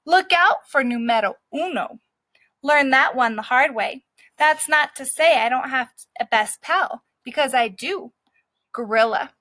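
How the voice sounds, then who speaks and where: A woman's voice: sits high, sharp tone, dry recording, full character voice. A woman voicing a scrappy, streetwise male dog who narrates his own story in a brash, cocky way.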